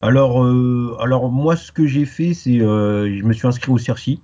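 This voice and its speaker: A French man talking through his story in an interview, slow to find his words and filling the gaps with pauses. His voice is dark and mellow, and the muffled, lo-fi recording dulls it further. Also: medium pitch. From France. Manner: hesitant, many pauses and fillers. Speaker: interview guest. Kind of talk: casual interview.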